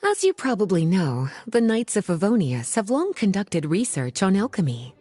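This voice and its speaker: A woman playing a playful, teasing scholarly librarian witch in a video game, polished and unhurried. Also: medium pitch, big pitch swings, warm tone, slight background noise, lightly acted. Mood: explanatory. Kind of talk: video game voice acting, scripted dialogue.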